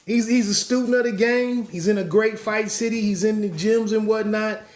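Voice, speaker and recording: A man's voice: sits high, steady tone, moderate pace, roomy sound. A man hosting a podcast, giving solo boxing commentary in a rambling way with many restarts and asides.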